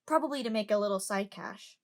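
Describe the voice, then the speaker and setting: A woman's voice: mid-range voice, brisk, close to an everyday voice. A woman in an amateur audio drama playing a curious, outspoken young passenger who chats and lectures. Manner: chatty, lecturing.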